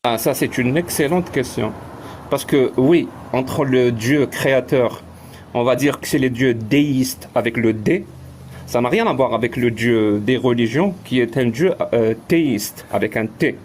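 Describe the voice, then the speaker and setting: Man from Algeria, live stream debate, mid pitch, explanatory, repeats words. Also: measured pace, darkish tone, slight background noise.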